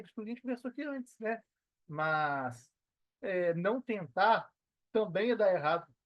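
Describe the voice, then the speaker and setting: A man's voice: high voice, dry recording, highs cut off. A Brazilian man chatting motivationally on a podcast, emphatic and slangy, repeating himself to press his point. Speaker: podcast participant. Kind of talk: casual motivational podcast chat.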